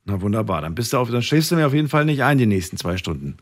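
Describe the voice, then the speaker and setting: Male, late-night talk host, animated intonation, dry close studio sound. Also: medium pitch, quick pace, dry recording. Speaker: radio call-in show host. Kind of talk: late-night radio talk, addressing listeners and callers. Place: radio studio. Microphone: close studio microphone.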